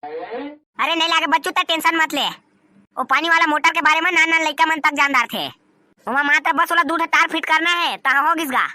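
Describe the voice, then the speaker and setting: A performer voicing a bossy, wily young cartoon shop partner, squeaky and scolding, with a Chhattisgarhi accent. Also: moderate pace, pushed and bright, no echo, clean studio sound. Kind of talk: comedy cartoon dub in Chhattisgarhi.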